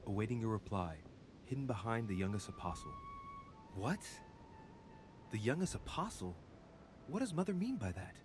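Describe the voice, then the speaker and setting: Low male voice, inquisitive young investigator, theatrical musing, video game narration, noisy rumbling background. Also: sing-song, moderate pace, pauses often. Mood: solemn reading, then intrigued. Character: young man investigating his mother's secret, musing and inquisitive. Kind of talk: dramatic game narration, thinking aloud.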